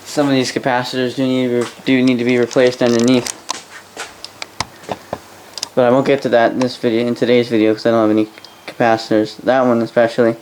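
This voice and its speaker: A young adult man with a Canadian accent giving a casual, unscripted hobby demonstration in a halting, rambling way, often repeating words. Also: medium pitch, level tone, a little mellow, rough recording. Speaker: vintage radio hobbyist and host. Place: indoor workbench in a room. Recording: home video with faint background noise.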